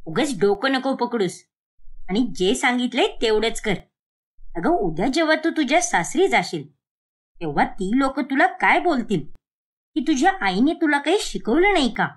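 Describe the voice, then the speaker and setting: Woman acting a nagging mother, high animated voice. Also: normal speed. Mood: scolding. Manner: sharp, nagging, berating. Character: scolding, exasperated middle-aged Indian mother teaching her daughter. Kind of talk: animated moral story dialogue.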